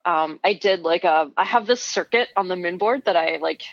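A woman's voice: fairly low pitch, normal speed, no room sound, lo-fi sound. A woman climbing coach talking casually as a podcast guest, slightly stuffy, chatty with lots of fillers and laughter. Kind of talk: casual interview chat.

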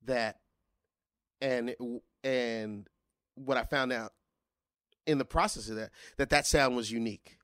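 A middle-aged man, a singer, talking casually in an interview, often restarting his sentences and growing animated when he recalls singing. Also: medium pitch, unhurried, long pauses, no room sound, studio quality. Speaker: guest singer.

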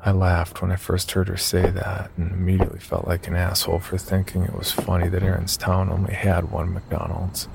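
A man narrating a scripted first-person horror story in a measured storytelling delivery. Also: sits low, completely flat delivery, gravelly, very noisy.

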